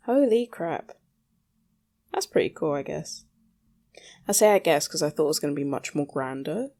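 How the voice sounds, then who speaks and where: Female solo podcast host, lowish creaky voice, casual rambling monologue, self-deprecating, mild swearing. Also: darkish tone.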